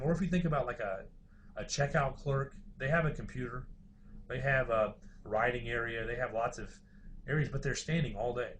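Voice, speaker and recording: A man's voice: mid-range voice, a little hiss or hum. A man giving an informal university lecture, explaining things in a hesitant, hedging way.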